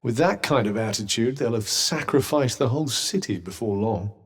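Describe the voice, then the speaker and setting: An actor voicing a sardonic talking magic bracelet in a video game, speaking in a dry, wry, teasing way. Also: male voice, mid-range voice, expressive, normal speed, slightly rough. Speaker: companion character.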